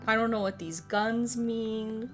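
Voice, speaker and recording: A woman's voice: a little high, fairly even intonation, slow pace. A woman chatting casually to viewers on a gaming stream, rambling, repeating herself and swearing freely. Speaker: live streamer. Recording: background music under the voice. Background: music.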